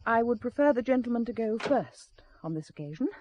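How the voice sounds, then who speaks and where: Actress playing an uneasy, high-voiced newlywed wife. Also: female voice, animated, brisk, lo-fi sound, noisy background, natural voice. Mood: uneasy, wry. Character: uneasy but witty newlywed wife in a mystery. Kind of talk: audio drama acting.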